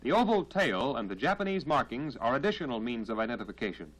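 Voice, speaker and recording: An American man narrating a 1940s military training film in a formal, measured, instructional manner, on a period film soundtrack. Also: medium pitch, big pitch swings, a bit bright, low-quality recording.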